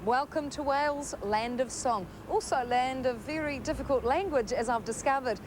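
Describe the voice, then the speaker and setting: A woman's voice: sits high, moderate pace. A woman delivering scripted feature narration as a reporter. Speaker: television reporter. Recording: clear street and vehicle noise behind.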